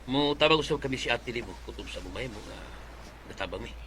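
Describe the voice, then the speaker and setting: A man's voice: mid-range voice, expressive, fast talker, crowd noise, lightly acted. A man with a Filipino Bisaya accent playing a supportive, conciliatory older male relative in a radio drama. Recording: clear noise behind the voice.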